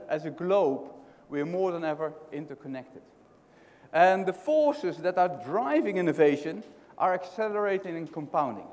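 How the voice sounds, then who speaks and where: A man's voice: high-pitched, a little mellow. Dutch-accented man speaking in a hall. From Netherlands. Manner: conversational, restarts often, asks the audience questions. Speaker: conference speaker. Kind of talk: keynote talk. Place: large hotel conference hall. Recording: hall reverb.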